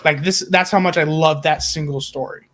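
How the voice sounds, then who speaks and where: Guy with a pretty high voice on a fan podcast, super casual and chatty. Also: steady tone, moderate pace, a bit bright. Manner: informal, peppers talk with "like". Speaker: podcast co-host.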